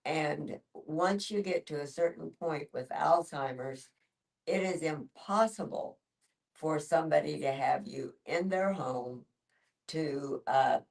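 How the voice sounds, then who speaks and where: An older woman sharing personal stories in a group discussion, with halting pauses. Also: low-pitched, gravelly, a little mellow. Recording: distant mic in a room.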